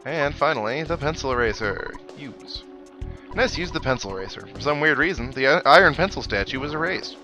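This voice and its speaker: Deep-voiced man commentating over loud game music. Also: expressive, fairly quick, lo-fi sound. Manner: wry, sarcastic, mock-exasperated. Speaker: host of a video game playthrough. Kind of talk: casual gameplay commentary. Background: video game music.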